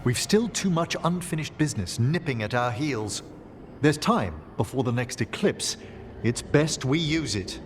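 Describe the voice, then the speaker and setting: A man's voice: medium pitch, expressive, measured pace, a little hiss or hum, close to an everyday voice. A man playing a courteous, composed scholar mage in a video game, speaking formally and articulately. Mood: measured. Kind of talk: video game cutscene acting.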